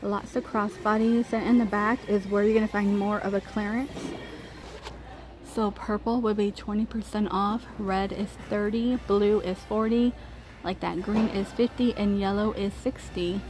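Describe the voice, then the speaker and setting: A woman's voice: medium pitch, fairly even intonation, moderate pace, darkish tone, poor audio. An American woman giving a casual, chatty shopping walk-through as a vlogger, browsing inside a large store with a handheld camera. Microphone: handheld phone or camera held by the speaker. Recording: clear ambient store noise. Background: store ambience.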